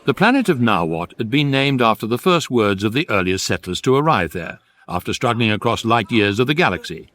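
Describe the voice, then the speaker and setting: A wry British narrator tells a comic science-fiction radio story. His mid-pitched voice moves with lively intonation, measured and drily deadpan.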